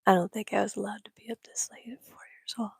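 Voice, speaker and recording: Whispery breathy woman chatting on a stream, gravelly, dry close sound. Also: mid-range voice, level tone, fast, no room sound. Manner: soft whispering, chatty, laughs often. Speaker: livestream host. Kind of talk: whispered casual chat with viewers.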